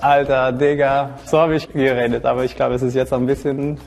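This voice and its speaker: A man's voice: medium pitch, little pitch movement, a little fast, vocal fry, darkish tone. A man in his late twenties with a Norwegian accent, introducing himself casually. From Norway. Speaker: contestant. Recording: long reverb, music bed underneath.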